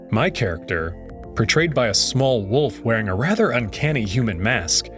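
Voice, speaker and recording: A man hosting a let's play channel, reading a visual novel aloud with wry, expressive delivery. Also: mid-range voice.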